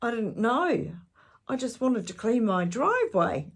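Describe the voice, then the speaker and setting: A flustered, well-meaning man from next door in a children's storybook, as a woman reader puts him on. He sounds startled and sorry, pitched up above the reader's normal talk. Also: big pitch swings, unhurried, slightly rough, full character voice. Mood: dismayed, apologetic. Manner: startled, apologetic.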